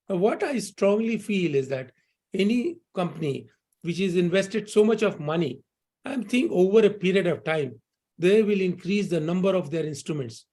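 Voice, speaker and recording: A man's voice: high-pitched, a little mellow. Surgeon, male, upper register, upbeat webinar answers peppered with "you know". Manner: fluent, optimistic, repeats "you know" and "I think".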